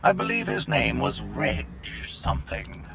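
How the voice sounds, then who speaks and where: An actor voicing a cold, threatening enforcer in a video game, talking in a slow, intimidating way. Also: male voice, medium pitch, wildly swooping pitch, vocal fry, music in the background, exaggerated voice. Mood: cold menace. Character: menacing enforcer hunting fugitives, cold and threatening. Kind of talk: video game villain acting.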